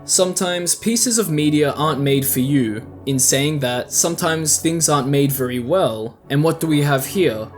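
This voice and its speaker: A man's voice: a little high, normal speed, hardly any pauses. A man delivering scripted video essay commentary in a conversational, opinionated way. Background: background music.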